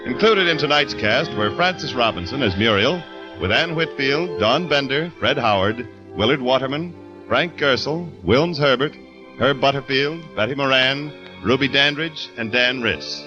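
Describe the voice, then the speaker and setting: A man announcing on old-time radio, reading sponsor copy and credits in a smooth, formal delivery. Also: medium pitch, expressive, moderate pace, lo-fi sound. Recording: old radio broadcast with music.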